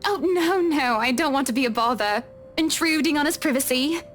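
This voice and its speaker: A woman's voice: sits high, expressive, normal speed, a bit bright, faint music behind. A woman voicing a genteel, affectionate and wry lady of a mansion in a visual novel, with a gracious, proper manner. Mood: gracious, warm.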